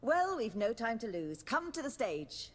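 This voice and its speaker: A woman playing a refined, self-assured stage actress and director in a video game, speaking in a measured, articulate, theatrical way. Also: fairly high pitch, very animated, long reverb tail, a little hiss or hum. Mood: businesslike. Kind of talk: video game dialogue acting.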